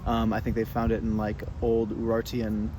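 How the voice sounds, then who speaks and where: A young man from Fresno, California, recalling his family and community in an oral history interview, with many pauses, fillers and restarts. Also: fairly low pitch, completely flat delivery, background noise.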